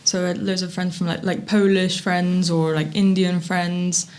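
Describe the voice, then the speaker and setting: A woman's voice: fairly low pitch, flat delivery, normal speed, a little mellow. A teenage girl from England, a student guest speaking hesitantly and thoughtfully. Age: late teens. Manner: hesitant, thoughtful, many fillers. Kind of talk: casual chat.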